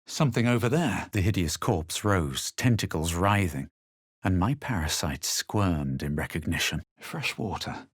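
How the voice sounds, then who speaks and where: An actor voicing a self-assured, haughty adventurer and spellcaster in a fantasy video game, with a husky voice and measured, theatrical delivery. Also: male voice, sits low, lively intonation, dry recording, studio quality, exaggerated voice. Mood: wary, brooding. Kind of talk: fantasy video game voice lines, combat barks and narrated recollections.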